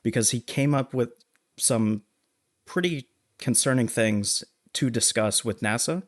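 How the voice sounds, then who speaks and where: A man co-hosting a casual film discussion, explaining things in a halting, measured way. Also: mid-range voice. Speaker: podcast co-host.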